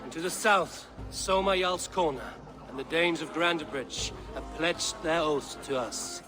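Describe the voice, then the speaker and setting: A man acts a proud Viking leader from a video game, with a fairly high male voice over prominent music. The speech is measured and stately, made to rally a clan. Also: close to an everyday voice. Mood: proud, ceremonial.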